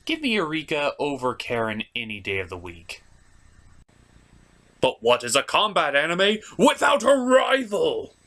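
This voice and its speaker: Male review host, higher-than-average voice, very lively intonation, theatrical and exaggerated scripted comedy delivery. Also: unhurried. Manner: theatrical, exaggerated, mock exasperation.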